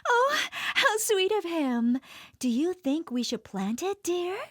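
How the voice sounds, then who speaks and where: A woman's voice: extremely high pitch, very animated, slow pace, fairly bright tone, no room sound, clean studio sound, heavily acted. A woman playing a sweet, loving village wife in a children's storybook, affectionate and gushing. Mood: tender, pleased.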